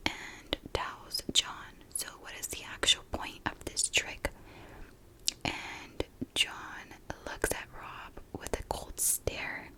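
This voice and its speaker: Slow whispering woman, ASMR storyteller. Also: low-quality recording. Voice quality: soft, breathy whisper. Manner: unhurried, informal, conversational narration. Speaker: ASMR true crime storyteller and YouTuber.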